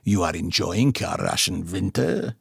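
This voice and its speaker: A man voicing a stern, menacing Russian colonel in an audiobook, speaking in a measured, formal, threatening way. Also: deep voice, lively intonation, vocal fry, slightly rough, no room sound, studio quality, full character voice. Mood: cold menace.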